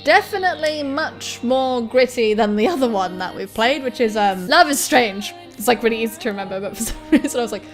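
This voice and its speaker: A woman with a fairly high voice hosts a visual novel read-through. She moves between narration and playful, irreverent casual commentary, expressively delivered, with game music behind her. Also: lively intonation, brisk, few pauses. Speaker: gaming video host.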